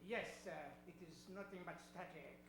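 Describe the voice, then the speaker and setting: Man playing high-voiced mysterious foreign stranger. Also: fairly even intonation, normal speed, lightly acted. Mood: furtive. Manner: hesitant, formal, mysterious. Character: strange, evasive foreign man in shimmering cape. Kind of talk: improvised old-time radio acting. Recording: roomy, distant, noisy with hum.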